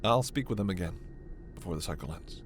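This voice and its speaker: A man playing a gentle, earnest alien scientist in a sci-fi ASMR roleplay, speaking in a measured, hesitant, soft way. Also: deep voice, animated, a little hiss or hum. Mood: apologetic, reassuring. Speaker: voice actor.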